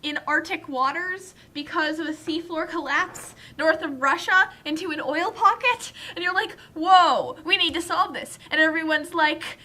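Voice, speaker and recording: A woman's voice: extremely high pitch, moderate pace, hardly any pauses, sharp tone. A young woman chatting casually as a co-commentator, telling rambling anecdotes in a wry, exaggerating way. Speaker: guest co-commentator.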